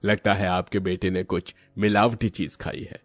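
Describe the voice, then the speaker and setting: A man voicing a grave doctor with a serious, measured manner in a cartoon story. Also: low voice, flat delivery, faint music behind. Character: grave male doctor giving a diagnosis.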